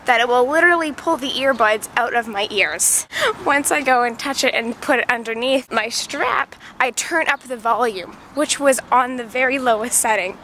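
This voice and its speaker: A woman's voice: fairly high pitch, normal speed. A woman with a congested, stuffy voice from a cold, chatting casually and animatedly into a handheld camera as she walks along city streets. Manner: chatty, animated storytelling with acted-out quotes. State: has a cold. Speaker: video creator. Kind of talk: casual rambling walk-and-talk vlog. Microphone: handheld camera held close while walking. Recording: outdoor street noise with passing traffic.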